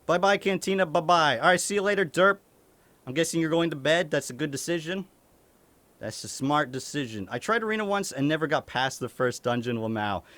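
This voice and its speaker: A man's voice: a little high, lively intonation, a little fast, a bit bright, dry recording. Young man, light Maine accent, casual streamer Q&A, frequent chuckles, casual swearing, dry close sound. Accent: light Maine (New England). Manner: chuckles often, swears casually, restarts sentences. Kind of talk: casual Q&A reading chat.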